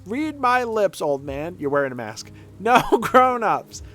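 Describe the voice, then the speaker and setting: A man's voice: high-pitched, sing-song, measured pace, fairly bright tone, faint music behind, exaggerated voice. A man doing a bratty taunting kid. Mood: mocking. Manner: taunting, singsong teasing. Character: bratty mocking child gatekeeper.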